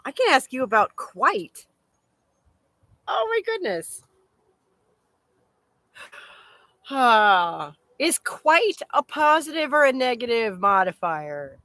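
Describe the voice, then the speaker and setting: Female streamer, higher-pitched, casual and exclamatory, swears freely, very dry room sound. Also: big pitch swings, slow, pauses often, pushed and bright, no echo. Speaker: host of a live chat stream. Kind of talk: casual rambling chat.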